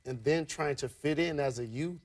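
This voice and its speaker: A man's voice: on the high side, normal speed. A male co-host asking plainspoken, earnest interview questions. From Detroit.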